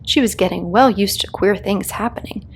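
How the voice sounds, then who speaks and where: A woman narrating a children's story as a read-aloud host, in a calming, expressive storytelling style. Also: medium pitch, normal speed, a little hiss or hum.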